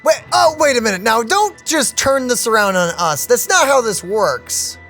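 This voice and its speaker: A woman's voice: a little high, animated, normal speed, fairly bright tone. A woman streamer giving casual reaction commentary on a video game. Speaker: gaming streamer. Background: game music.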